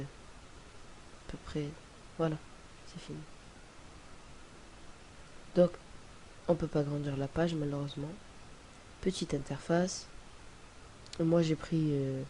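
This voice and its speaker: A young man with a cold, talking through a casual screen-recorded software tutorial in a rambling, hesitant way. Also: fairly high pitch, flat delivery, slow pace, long pauses, low-quality recording. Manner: rambling, hesitant, many fillers and restarts. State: has a cold. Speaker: tutorial presenter. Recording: faint hiss on home recording.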